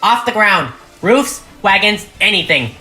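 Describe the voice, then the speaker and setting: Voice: mid-range voice, big pitch swings, unhurried, bright, forceful tone, faint music behind, voice pushed up, poor audio. A narrator voicing a brave young female pony leader who rallies others with commanding urgency in a cartoon audio drama. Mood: urgent, shouting. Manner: commanding, rallying.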